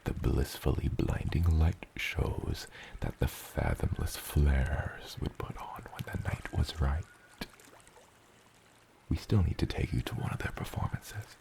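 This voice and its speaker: A man voicing a huge, gentle father dragon in an ASMR roleplay, with a gravelly, breathy rumble and tender, eloquent phrasing. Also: animated, exaggerated voice. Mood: wistful, soft. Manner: slow, tender, eloquent, slightly old-fashioned wording.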